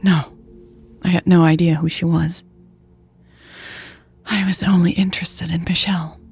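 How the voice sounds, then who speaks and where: Guilty, pleading woman acted by a female narrator, soft and hesitant, husky with a creaky edge, tearful audio drama confessions. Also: on the low side, flat delivery, a little slow, faint music behind, heavily acted. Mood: hesitant, ashamed. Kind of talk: audio fiction dialogue reading.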